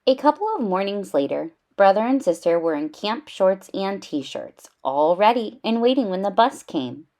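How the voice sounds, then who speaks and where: A woman reading a children's story aloud in an expressive, animated storytelling style with clear emphasis. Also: mid-range voice, normal speed, hardly any pauses.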